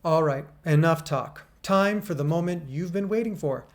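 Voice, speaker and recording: A man with a deep, bassy voice hosting a casual gear review, chatty and upbeat as he walks through features step by step. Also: moderate pace. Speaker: gear reviewer and channel host.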